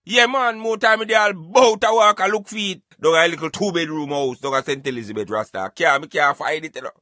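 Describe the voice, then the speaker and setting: Bright, higher-voiced Jamaican man. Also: brisk, no echo, clean studio sound. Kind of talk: casual radio banter in Patois.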